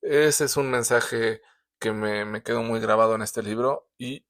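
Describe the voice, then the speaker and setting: A man's voice: medium pitch, measured pace, a bit bright, no room sound, studio quality. A man with a sore throat, hosting a casual book review, talking with many fillers and restarts. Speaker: book reviewer, show host.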